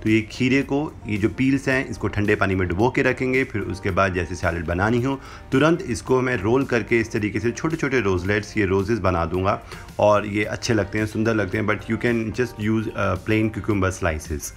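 A man's voice: fairly low pitch, moderate pace, vocal fry, soft background music. Young man explaining a recipe step by step. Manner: instructive, mixes English phrases into Hindi. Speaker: cooking channel host. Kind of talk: recipe demonstration voice-over. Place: home kitchen with brick wall.

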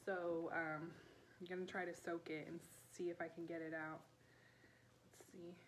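A woman in her thirties chatting casually in a low-key, offhand way, as if showing finds to viewers at home. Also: level tone, normal speed. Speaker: vlogger and online vintage reseller. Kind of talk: casual haul video chat. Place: small living room at home, indoors. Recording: clear background room noise.

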